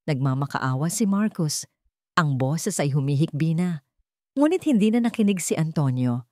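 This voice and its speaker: A woman's voice: sits low, very animated, moderate pace, a little mellow, no room sound, studio quality. A woman narrator reading a scripted, dramatic story.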